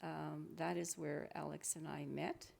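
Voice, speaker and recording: A woman in her seventies from Paraguay, a retired nurse, telling her story slowly with pauses as an interview guest. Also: deep voice, little pitch movement, creaky, darkish tone. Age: 70s. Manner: slow, thoughtful, with pauses.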